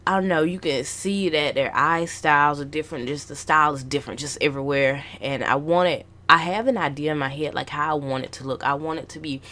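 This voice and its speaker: A woman's voice: low voice, fairly quick, hardly any pauses, pushed and bright. A young woman artist casually rambling about her goals, stuffy from a flu, with many ums and restarts. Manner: meandering, lots of ums and restarts. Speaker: artist vlogger.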